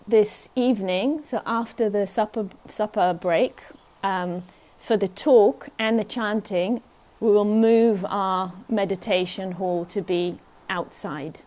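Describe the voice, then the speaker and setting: Soft-spoken woman teaching meditation. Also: mid-range voice. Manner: gentle, unhurried, often checks in with "yeah?". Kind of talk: guided meditation talk at a retreat.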